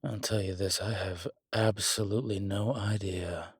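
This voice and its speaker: A man playing a wry, world-weary demon investigator in a horror roleplay audio, speaking in hesitant, measured, musing phrases with dry humour. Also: on the low side, steady tone, husky, no echo. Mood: dryly amused. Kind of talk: horror roleplay audio, intimate one-sided dialogue.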